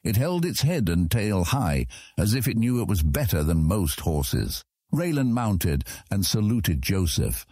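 A man narrating a fantasy audiobook in a measured, dramatic storytelling manner. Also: on the low side, very animated, mellow, breathy edge, no room sound, clean studio sound.

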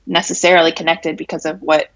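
A woman in her late twenties with a raspy, allergy-hoarse voice, talking frankly and reflectively as an interview guest. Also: sits low, flat delivery, quick pace, fairly bright tone, no room sound, lo-fi sound. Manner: frank, reflective, many fillers and laughs. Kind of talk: candid casual conversation.

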